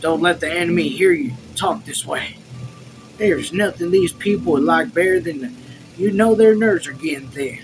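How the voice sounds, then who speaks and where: A man's voice: high voice, very animated, moderate pace, music in the background, pitched above the performer's own voice, poor audio. A man voicing a stern, practical army officer from a novel, in a scolding manner.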